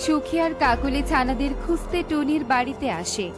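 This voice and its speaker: A woman's voice: high-pitched, moderate pace, music in the background. A woman reading scripted narration for a children's cartoon story.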